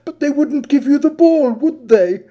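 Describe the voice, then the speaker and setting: A man's voice: very high voice, lively intonation, normal speed, dark tone, highs cut off, voice pushed up. A man voicing a flamboyant, witty teenage public schoolboy with a florid, affected, theatrical delivery for a novel reading. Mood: mocking, amused.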